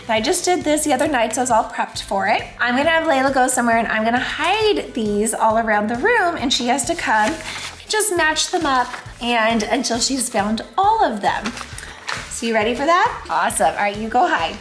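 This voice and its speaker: A young woman with a hoarse voice, chatting casually about homeschooling in a home vlog. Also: a little high, lively intonation, normal speed, a bit bright, soft background music. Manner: chatty, upbeat, praising her child. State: hoarse, lost her voice. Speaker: homeschooling mom, channel host. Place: family home, indoors.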